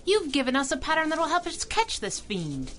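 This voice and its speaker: A brash young crime-fighting sidekick from an old-time radio serial. Her voice is high and swoops with expression, eager, slangy and earnest throughout. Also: wildly swooping pitch, moderate pace, a bit bright, exaggerated voice. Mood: consoling. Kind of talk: 1930s radio serial acting.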